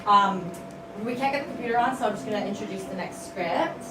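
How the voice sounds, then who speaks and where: A woman's voice: mid-range voice, fast, noisy background. A woman hosting a group event, announcing informally in a casual, hesitant, apologetic way. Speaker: host of a script table read. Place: large indoor room at a live event. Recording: echoey large room, distant mic, loud crowd chatter. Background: loud crowd chatter.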